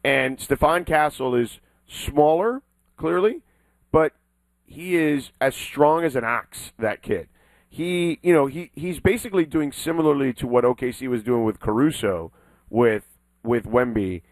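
A man's voice: mid-range voice, measured pace, no echo, low-quality recording. A man co-hosting a casual sports talk show, emphatic and insistent, leaning hard on the key words.

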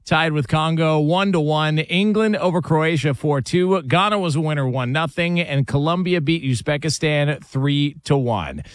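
A man hosting a sports radio show, reading scores and sponsor spots in a steady, brisk announcer cadence. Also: fairly high pitch, dry recording, studio quality. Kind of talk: radio show banter and live reads.

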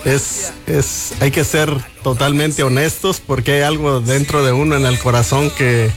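A man's voice: mid-range voice, steady tone, a little slow, soft background music. An older man from Mexico, a guest on a radio show, speaking earnestly and reflectively in a studio booth. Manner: earnest, reflective, with frequent restarts. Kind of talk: radio interview.

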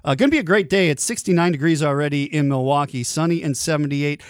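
A man's voice: on the high side, fast talker, no room sound. Man, radio host, casual chatty delivery, dry close sound. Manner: easygoing, chatty. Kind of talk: casual radio home-improvement talk.

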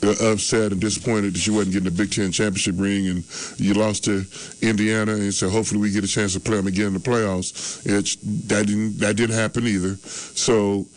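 A man's voice: on the low side, little pitch movement, a little fast, poor audio. A man in his early sixties grading his team's game in casual sports talk, rambling and repeating himself. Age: 60s. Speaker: sports show regular.

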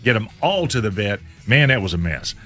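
Male morning radio co-host, low and animated, easygoing and chuckly, soft music bed. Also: fairly quick. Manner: easygoing, chuckling, lots of backchannel. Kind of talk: casual morning radio chat. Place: radio studio. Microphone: studio microphone.

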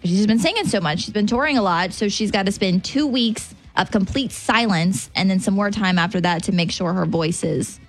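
A woman's voice: medium pitch, moderate pace, hardly any pauses, faint music behind. A woman hosting a radio show, chatting casually and telling entertainment news stories. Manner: chatty, animated storyteller. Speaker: radio host. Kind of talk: casual morning radio chat.

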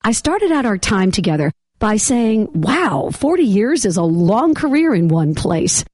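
Hoarse woman hosting a podcast. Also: medium pitch, big pitch swings, a little slow, a little mellow, no room sound, studio quality. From Boston area. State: hoarse from laryngitis. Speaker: podcast host. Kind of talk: interview podcast.

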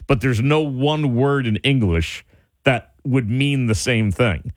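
A man's voice: medium pitch, takes it slowly, no echo, studio quality. A man hosting a call-in radio show, chatting casually with callers, laughing easily and using plenty of fillers. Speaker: radio host. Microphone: studio microphone.

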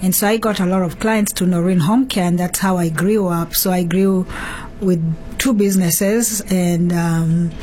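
A woman with a Kenyan accent speaks as a guest, recounting personal experience in an interview. Her voice sits a little low for a woman, over some background noise. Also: fairly even intonation, normal speed, darkish tone. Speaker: interview guest, home care business owner. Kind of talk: telling personal story in an interview.

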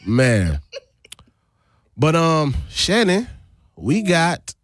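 Young guy on a radio show, super lively pitch, goofing around with slang, dry studio sound. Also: no echo. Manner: animated, laughing, slangy, quick to imitate others. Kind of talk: casual radio banter.

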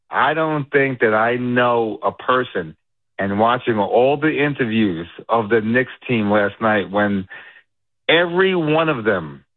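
Male radio caller, slow rambling delivery, dry tinny phone sound. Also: mid-range voice, no echo. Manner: rambling, deliberate, complaining. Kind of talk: call-in sports talk. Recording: phone line.

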